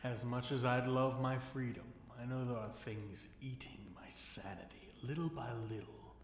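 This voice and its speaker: A man playing an obsessive, seductive fallen dragon king held captive, speaking in a slow, teasing, theatrical purr for an intimate ASMR roleplay. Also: medium pitch, fairly even intonation, lightly acted. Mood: calm, unsettling. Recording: roomy echo with faint noise.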